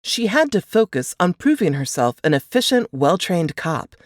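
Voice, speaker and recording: A woman reading scripted fiction aloud in an expressive audiobook narration style. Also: lowish voice, moderate pace, dry recording, studio quality.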